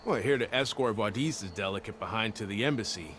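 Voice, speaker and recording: A man's voice: fairly low pitch, fairly quick, a bit bright, a little hiss or hum, lightly acted. A man playing a brash, good-humoured soldier in a video game, with a colloquial, wisecracking manner. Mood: wry. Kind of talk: video game cutscene acting.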